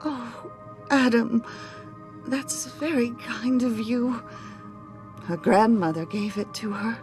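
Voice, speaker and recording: A woman playing a gentle, sorrowful bereaved older woman in a video game, speaking softly. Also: on the high side, slow pace, over music. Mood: sorrowful, grateful.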